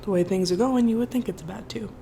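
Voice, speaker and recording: A woman's voice: medium pitch, fast, gravelly, dark tone, a little hiss or hum. A woman in her early thirties chatting casually and expressively as a mukbang vlogger, with informal asides. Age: early 30s. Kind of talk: casual chatty storytime while eating.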